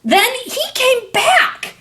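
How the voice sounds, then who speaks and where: High, animated greedy tycoon played by a woman. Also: takes it slowly, slight background noise, exaggerated voice. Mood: boastful. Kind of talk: children's puppet show storytelling.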